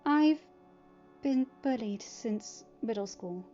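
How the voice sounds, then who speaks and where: A woman voicing a bullied teenage boy, soft-spoken, hesitant and vulnerable, in a story reading. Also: on the high side, expressive, takes it slowly, muffled recording, soft background music. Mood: hurt, subdued.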